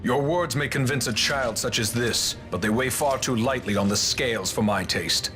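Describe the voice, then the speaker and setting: An actor playing a stern, wary resistance leader in a video game, with a grave, formal, measured delivery. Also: male voice, mid-range voice, a bit bright, faint music behind, close to an everyday voice. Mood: grave, distrustful. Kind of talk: video game drama acting.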